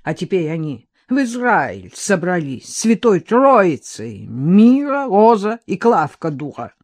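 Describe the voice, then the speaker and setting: A woman's voice: fairly low pitch, very animated, slow, darkish tone, heavily acted. A woman voicing a bitter older man who talks harshly, sarcastically and in angry bursts.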